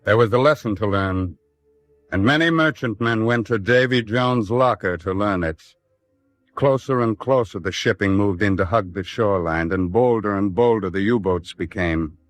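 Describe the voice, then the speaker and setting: A man's voice: lowish voice, moderate pace, no room sound. A man delivering solemn, rhetorical narration in the dramatic style of an old-time radio documentary drama.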